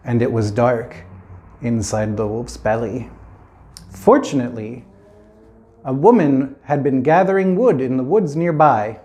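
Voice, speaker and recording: A man's voice: mid-range voice, a little slow, long pauses, darkish tone. A man telling a children's fairy tale informally, as a storyteller, with playful, chatty asides.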